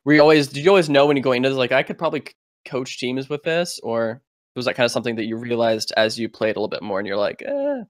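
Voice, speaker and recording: A man co-hosting a podcast, asking chatty questions and reacting quickly. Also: mid-range voice, very animated, no room sound, clean studio sound. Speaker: podcast co-host. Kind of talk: casual interview chat.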